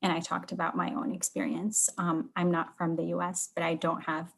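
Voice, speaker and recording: A woman from Lebanon speaks on a video call in a low, flat, even tone. She is unhurried and drops in "um" often. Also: creaky, slightly rough. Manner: even, flat delivery with frequent fillers. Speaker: workshop participant. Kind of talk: sharing in an online seminar.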